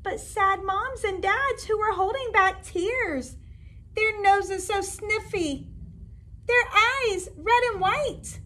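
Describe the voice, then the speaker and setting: A woman in her forties, a kindergarten teacher, reading a storybook aloud to young children in an expressive, animated way. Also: very high-pitched, slow. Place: indoor classroom.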